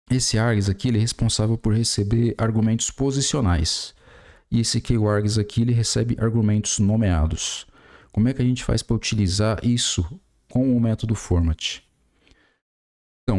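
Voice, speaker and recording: A man's voice: lowish voice, darkish tone. A Brazilian man in his forties explaining a coding tutorial step by step while typing, with many fillers. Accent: Brazilian Portuguese. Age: 40s. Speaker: programming tutor. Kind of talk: screen-recorded coding tutorial.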